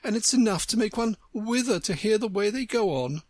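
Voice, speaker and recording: A woman's voice: medium pitch, animated, brisk, a little mellow, no echo, clean studio sound, voice pushed up, natural voice. A woman voicing a haughty, hot-tempered talking flower who scolds in a storybook. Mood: huffy, explaining. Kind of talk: storybook character voice.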